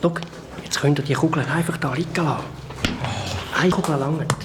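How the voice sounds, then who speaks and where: A man's voice: fairly high pitch, steady tone, normal speed, heavy background noise, natural voice. A man playing a cautious, urgent guide who warns and instructs companions in a Swiss German children's audio drama. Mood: reassuring. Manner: warning, instructing.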